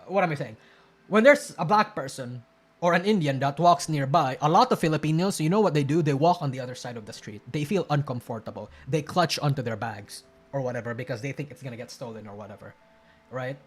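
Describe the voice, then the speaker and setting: Rambling, swearing live-stream reactor. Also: male voice, a little high. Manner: rambling, blunt, swears, restarts and trails off. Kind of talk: casual live-stream commentary.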